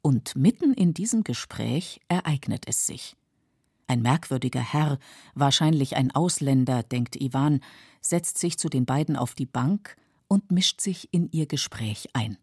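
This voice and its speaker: A woman with a mellow, husky voice reading scripted documentary narration. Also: rumbling low, expressive, unhurried, no room sound, studio quality.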